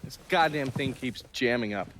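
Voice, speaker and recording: A man's voice: mid-range voice, moderate pace, heavy background noise, lightly acted. A man playing a wry, irritable intelligence operative in a video game, with an informal, sardonic manner. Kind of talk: video game cutscene dialogue.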